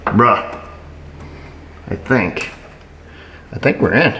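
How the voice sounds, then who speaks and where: A man's voice: mid-range voice, animated, extremely slow, bright, forceful tone. A middle-aged man, an auto mechanic, explaining a repair in a casual how-to way with wry, dry, self-mocking asides while he works. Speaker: auto mechanic, shop owner. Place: indoor auto repair shop garage. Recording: echoey garage with shop noise.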